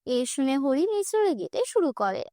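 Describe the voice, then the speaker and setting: A female narrator with a high voice tells a children's fairy tale. Her delivery is scripted storytelling, captured dry and close. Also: sing-song, normal speed, warm tone, dry recording.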